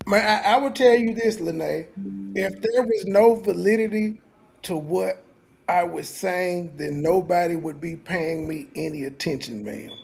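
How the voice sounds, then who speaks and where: A man's voice: high voice, measured pace, creaky, darkish tone, soft background music. A man on a livestream panel arguing bluntly and combatively, often tacking on "ma'am". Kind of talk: heated livestream panel talk.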